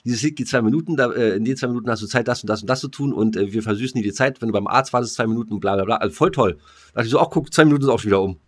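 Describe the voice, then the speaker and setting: A man's voice: mid-range voice, very fast. A man co-hosting a podcast in casual, slangy, rambling and jokey banter. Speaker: podcast co-host. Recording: music playing under the voice.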